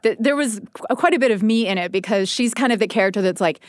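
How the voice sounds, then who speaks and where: A woman's voice: mid-range voice, fast, no echo. A woman who hosts radio, chatting casually as a guest in a studio interview. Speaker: radio host appearing as guest.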